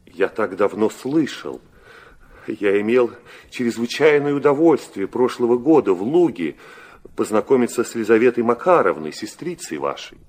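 An actor playing a courteous, stiff prince with a military bearing, formal and measured, in a Russian radio play. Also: male voice, medium pitch, big pitch swings, a little mellow. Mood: formal courtesy.

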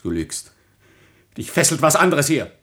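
An actor playing an ardent, jealous young nobleman in a classic German radio tragedy, impassioned and swinging between hushed and forceful speech. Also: fairly high pitch, big pitch swings, normal speed. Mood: jealous, bitter. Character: ardent, impetuous, jealous young aristocratic lover.

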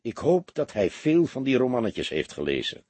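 A man voicing a calm, weary, shrewd middle-aged police detective in an audiobook, quiet and measured. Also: mid-range voice, slightly rough, no echo. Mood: wry, confident. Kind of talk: audiobook character dialogue.

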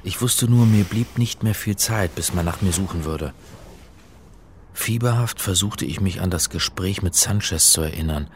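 An actor playing an earnest, uneasy middle-aged academic in a radio drama, speaking in a measured way as narrator and in dialogue. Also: male voice, deep voice, slight background noise, lightly acted. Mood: brooding, tense. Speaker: actor and first-person narrator.

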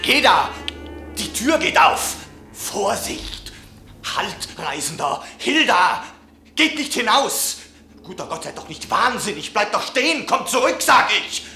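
A man's voice: high-pitched, big pitch swings, slow pace, vocal fry, bright, forceful tone, a little hiss or hum, exaggerated voice. An actor playing an impassioned, indignant man who pleads emphatically and reproachfully in a German radio play. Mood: alarmed, shouting. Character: impassioned, indignant male customer pleading against fatalistic townspeople. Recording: roomy radio drama studio.

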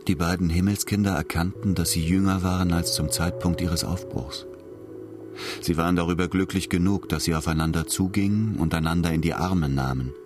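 A man's voice: low-pitched, fairly even intonation, a little fast. A man narrating a literary radio drama in a scripted, storytelling style. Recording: music bed under studio voice. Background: music.

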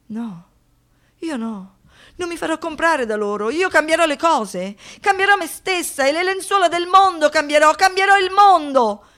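Young female survivor, high-pitched, haunted, defiant, theatrical delivery, poetic radio drama monologue. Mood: sorrowful, weary. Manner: dramatic, swinging between hushed reflection and impassioned outbursts. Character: traumatised young woman survivor of a brutal assault, anguished, defiant.

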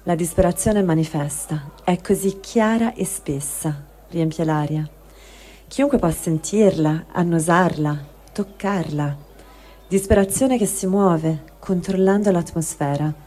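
A woman giving a slow, grave dramatic reading of diary texts for a radio program. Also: lowish voice, mellow. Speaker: reader.

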